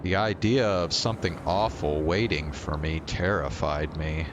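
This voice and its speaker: A man's voice: low-pitched, measured pace, noisy background. A male streamer reading a visual novel aloud and talking to viewers as he goes. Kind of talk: live read-aloud narration of a visual novel.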